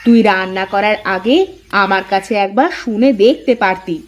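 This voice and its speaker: A woman's voice: mid-range voice, normal speed, lightly acted. A woman voicing a bossy, easily offended elder daughter-in-law in a Bengali cartoon story.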